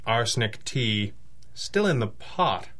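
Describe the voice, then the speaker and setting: A man playing a composed, commanding gentleman detective in a 1930s-style radio drama, crisp and dryly witty. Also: mid-range voice, big pitch swings, takes it slowly. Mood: brisk, dry.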